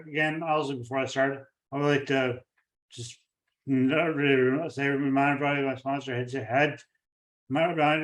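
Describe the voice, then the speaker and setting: A man hosting an interview podcast, talking in a halting way with many fillers and restarts. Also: mid-range voice. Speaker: podcast host. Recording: echoey room, far from the mic.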